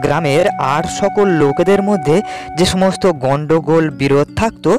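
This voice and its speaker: A man with a slightly high voice narrates a cartoon folk tale. His delivery is scripted storytelling, with music clearly playing behind him. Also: normal speed.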